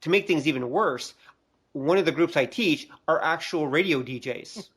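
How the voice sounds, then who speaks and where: Man, middle-aged, somewhat high-pitched, conversational podcast co-host. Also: normal speed, fairly bright tone, breathy edge. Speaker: podcast co-host, college teacher. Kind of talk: casual podcast discussion.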